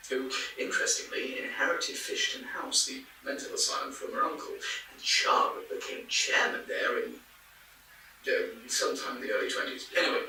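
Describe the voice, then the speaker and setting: An actor playing a chatty, skeptical folklore researcher in a podcast drama, rambling and wry with hesitant stammers. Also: male voice, mid-range voice, pushed and bright, distant mic, heavily acted. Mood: musing, speculative. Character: chatty, skeptical male folklore researcher musing on old records. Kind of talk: naturalistic interview in a podcast drama. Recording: very echoey room, off-mic, faint noise.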